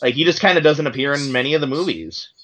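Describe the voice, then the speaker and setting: A man co-hosting a casual movie commentary podcast, joking and quick to laugh. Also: a little high, a bit bright, rough recording. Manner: joking, quick to laugh, does silly voices. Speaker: podcast co-host.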